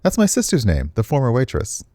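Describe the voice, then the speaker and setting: A man's voice: mid-range voice, huge pitch swings, a little fast, mellow, dry recording. A man voicing an easygoing, frank male restaurant worker in a visual novel. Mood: chatty.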